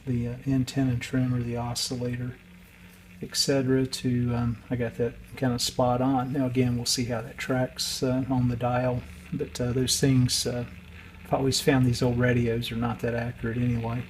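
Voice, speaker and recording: A man's voice: medium pitch, completely flat delivery, moderate pace, slight background noise, poor audio. A man giving a casual, unscripted workbench walkthrough in a hesitant, matter-of-fact way. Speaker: radio restorer and video host.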